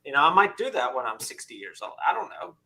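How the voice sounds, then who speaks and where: A man's voice: on the high side, sing-song, quick pace, vocal fry, bright, forceful tone, slight background noise, poor audio. A man in his thirties, a podcast co-host in a casual panel discussion, who repeats words as he builds a point. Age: 30s.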